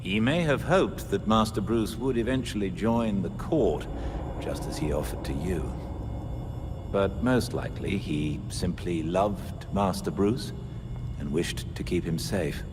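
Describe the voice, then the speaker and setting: A man voicing an elderly loyal butler, speaking in a formal, measured and gentle way. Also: low voice, expressive, music in the background. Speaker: game character. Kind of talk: video game cutscene acting. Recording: reverberant game mix with background noise.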